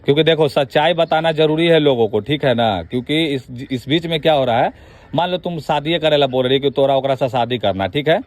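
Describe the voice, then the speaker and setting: A man's voice: a little high, fairly quick. A man with a Bihari accent in an informal phone conversation, asking pressing questions in a colloquial way and cutting in. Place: phone call.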